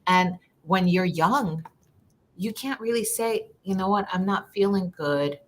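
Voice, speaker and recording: A woman's voice: mid-range voice, expressive, measured pace, a little hiss or hum. A woman from Ecuador, a social worker, talking earnestly in a live conversation and often repeating words while she thinks.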